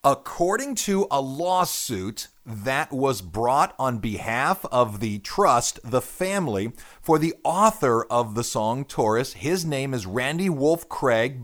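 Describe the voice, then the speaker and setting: A man hosting a music radio show in a middle pitch. He explains his topic at length in a chatty way, like someone giving a lecture. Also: animated, a little slow, few pauses. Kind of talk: casual talk radio.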